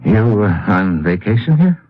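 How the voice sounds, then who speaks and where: An actor with an American accent playing a wry, wary undercover agent in an old-time radio detective drama, dry and sardonic. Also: male voice, fairly low pitch, very animated, measured pace, no echo. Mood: dry, guarded. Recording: dull old radio recording with music.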